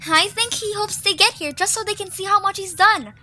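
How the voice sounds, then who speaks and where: A woman's voice: very high voice, brisk, pushed and bright, a little hiss or hum, heavily acted. A woman voicing a stubborn, guilt-ridden young creature in an audio drama. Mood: resigned, thoughtful. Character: stubborn, guilt-ridden young female Zoombini creature searching for her friend. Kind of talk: audio drama character acting.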